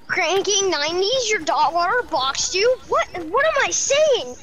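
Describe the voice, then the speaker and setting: A woman's voice: very high voice, very animated, a little slow, fairly bright tone, a little hiss or hum. A female player chatting casually in improvised game roleplay, on a home gaming mic.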